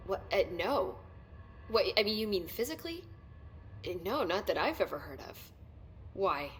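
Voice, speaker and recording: An actress as a nerdy woman scientist in a video game. She speaks in an eager, halting manner, excitable about her research. There is a faint noise behind her medium-pitched voice. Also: natural voice. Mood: amazed, excited. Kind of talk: video game cutscene dialogue.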